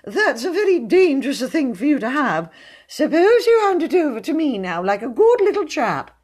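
Quavering, high-pitched cowardly gentleman. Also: very animated, slow pace, full character voice. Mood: nervous coaxing. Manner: plaintive, hesitant, pleading. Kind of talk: storybook character voice.

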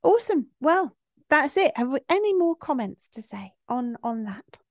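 A woman hosting a casual podcast with a somewhat higher voice, warm and encouraging as she steers the talk. The sound is dry and close, with no room around it. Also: very animated, moderate pace, dry recording. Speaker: podcast host. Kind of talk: casual chat.

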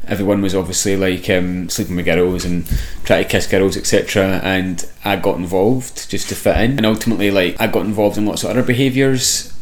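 A man's voice: deep voice, steady tone, brisk, few pauses, a little hiss or hum, rough recording. A Glaswegian man in his thirties, a podcast guest, speaking openly and reflectively about his life and laughing easily. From Glasgow, Scotland. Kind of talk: candid personal storytelling.

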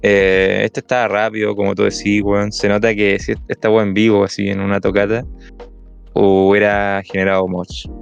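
A man's voice: lowish voice, flat delivery, normal speed, vocal fry, smooth, muffled recording. A young man with a Chilean Spanish accent in a casual music chat as a podcast co-host, laid-back and hesitant and full of slang.

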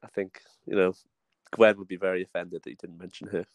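A man's voice: low voice, little pitch movement, brisk, no echo. A young man with a Welsh accent, a guest chatting casually on a podcast, self-deprecating and hesitant, often restarting his sentences. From Wales.

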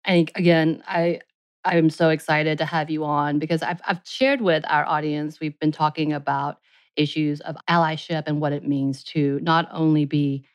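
A woman with a low voice co-hosts a podcast in casual chat. She talks in an excited, rambling way and stumbles over her words now and then. The sound is dry and close. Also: normal speed, clean tone, no room sound, low-quality recording. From Asia, raised in the U.S. from age seven. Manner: excited, rambling, apologizes for mumbling. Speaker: podcast co-host.

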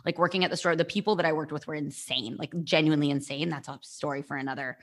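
A young woman with a notably low voice tells her story in a loose, rambling way. She leans on "like" as a filler while chatting as a podcast guest. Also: steady tone, fast. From United States. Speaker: guest, nutrition coach. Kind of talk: casual podcast chat.

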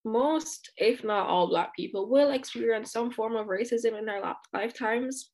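A woman's voice: medium pitch, darkish tone, low-quality recording. A woman from Jamaica, speaking as a student guest in an earnest, outspoken way with frequent asides. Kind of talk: candid conversational discussion.

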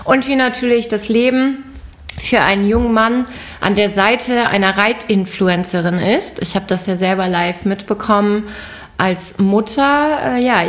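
A middle-aged woman with a somewhat high voice hosts a relaxed podcast chat. She sounds a little hoarse after being ill, and she talks freely with frequent laughs and ums. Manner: chatty, laughs often, many ums. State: hoarse, recovering from illness. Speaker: podcast host.

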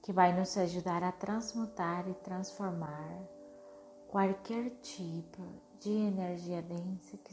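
Slow, lowish-voiced woman guiding meditation. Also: noisy background. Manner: soothing, unhurried, short phrases with long pauses. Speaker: meditation guide. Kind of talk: guided meditation narration. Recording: music behind the voice. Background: meditation music.

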